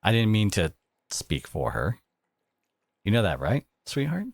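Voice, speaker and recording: A man acting a devoted, protective boyfriend in an audio roleplay, speaking in an earnest, halting, conversational way with an edge of sarcasm. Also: fairly low pitch, wildly swooping pitch, gravelly, no room sound, clean studio sound, exaggerated voice. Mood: proud, tender. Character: devoted, protective boyfriend confronting his partner's family, adult man.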